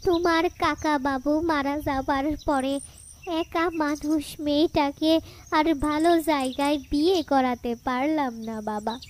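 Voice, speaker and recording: Woman as elderly village widow, very slow, high plaintive voice, sorrowful cartoon aunt, noisy night ambience behind. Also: fairly even intonation. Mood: sorrowful pleading. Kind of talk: cartoon story dialogue.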